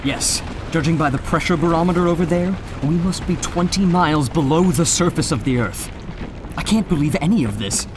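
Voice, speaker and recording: Bookish, quick-witted male adventurer, actor, articulate, higher register, for an adventure audio drama. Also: noisy background, natural voice. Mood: amazed.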